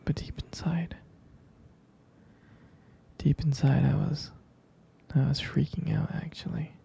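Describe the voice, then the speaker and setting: A protective, tender boyfriend with a jealous streak, acted by a man for an intimate ASMR roleplay. He murmurs slowly and hesitantly, half whispering. The voice is very husky and breathy, soft and close in feel. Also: on the high side, level tone, exaggerated voice. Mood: tender, nostalgic. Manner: slow, hesitant, soft-spoken.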